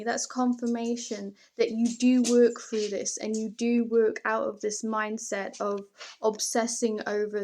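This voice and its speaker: A woman reading tarot for the listener in an informal, conversational way, earnest, emphatic and reassuring. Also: fairly high pitch. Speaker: tarot reader. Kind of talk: intimate, encouraging tarot reading addressed to the listener.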